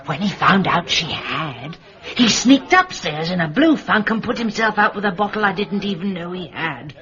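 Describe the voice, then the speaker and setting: A woman's voice: fairly low pitch, sing-song, moderate pace, fairly bright tone. An actress playing a gossipy, theatrical, affectionate British woman, effusive and chatty, in a radio drama. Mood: indignant, gossipy. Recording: dull, lo-fi radio recording.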